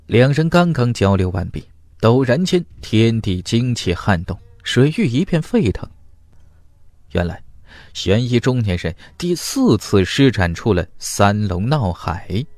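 Male fantasy audiobook narrator, dramatic and very varied in pitch, dry close sound. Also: very animated, unhurried, a little mellow, no echo.

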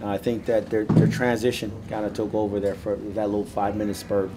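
A middle-aged man, a basketball head coach, answering reporters at a postgame press conference in a measured way, often restarting his phrases. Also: on the low side, level tone, very noisy. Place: small indoor media room. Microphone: handheld recorders held up by reporters.

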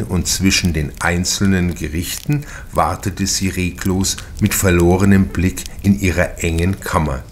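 Man, low voice, scripted literary narration, measured, deliberate, crime audiobook. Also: slight background noise.

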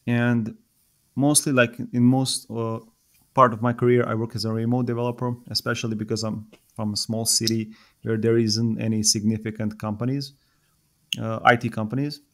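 A man in his thirties from Serbia with a Serbian accent, speaking as a podcast guest in a thoughtful, halting way with many fillers. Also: medium pitch, level tone. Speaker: podcast guest, software developer. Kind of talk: casual podcast conversation.

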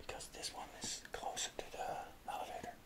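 Whispering nervous urban explorer man. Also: fairly quick.

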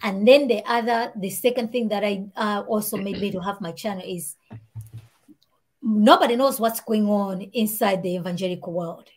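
Malawian woman, 30s, animated interview guest, video call from home. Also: mid-range voice, moderate pace. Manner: animated, talks with her hands. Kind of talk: casual interview chat. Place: home room with shelves and warm lamp. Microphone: video call, earbuds.

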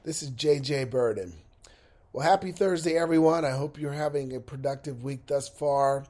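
A man's voice: medium pitch, moderate pace, darkish tone. A man with a head cold hosting a motivational podcast, talking upbeat and encouraging straight to his listeners from his bed at home. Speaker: podcast host. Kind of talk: unscripted motivational podcast monologue. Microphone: laptop with a mic.

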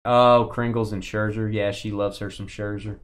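A man's voice: on the low side, level tone, normal speed. Chatty Texan man hosting a card break. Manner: chatty, joking, talks to chat viewers. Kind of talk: live-stream card break commentary.

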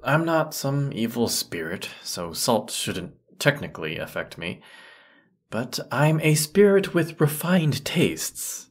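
A man's voice: mid-range voice, big pitch swings, slow. A man playing a grumpy, haughty century-old teapot spirit in an audio roleplay, scolding the listener with put-upon sarcasm. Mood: haughty, aggrieved.

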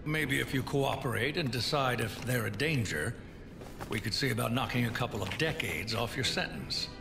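A male actor as a gruff, weary police commissioner in an animated game. His mid-pitched voice is full of gravel, dry and businesslike, with music clearly playing behind it. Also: normal speed, close to an everyday voice. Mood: stern, formal. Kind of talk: animated video game cutscene acting.

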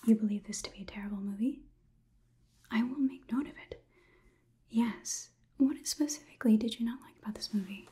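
A young woman playing a polite, curious, clinical robot overlord in a whispered ASMR roleplay, with measured, formal delivery. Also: fairly high pitch, mellow, lightly acted. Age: young adult. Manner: slow, measured, deliberate, formal wording. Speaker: ASMR performer.

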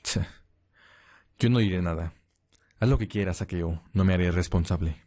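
A man's voice: low-pitched, normal speed, a little mellow, a little hiss or hum, lightly acted. A man playing a curt, defiant young vigilante in an amateur roleplay audio drama. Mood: surly. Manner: curt, grudging.

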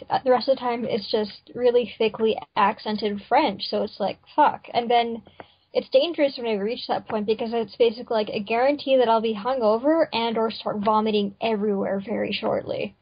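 A woman's voice: a little high, fairly even intonation, a little fast, breathy edge. A woman guesting on a podcast, chatting casually with wry, sarcastic remarks. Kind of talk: casual chat. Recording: dull lo-fi podcast audio.

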